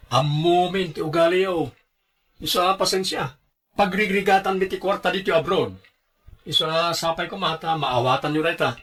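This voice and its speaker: A man acts as a grown son with a fairly high male voice. He is responsible and firm, setting out his reasons plainly in radio drama style. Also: fairly bright tone.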